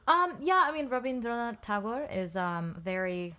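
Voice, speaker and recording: A woman's voice: on the high side, sing-song, moderate pace, pushed and bright. A young woman, a singer and songwriter, chatting as a podcast guest, with many ums and likes and easy laughs. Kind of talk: casual interview chat.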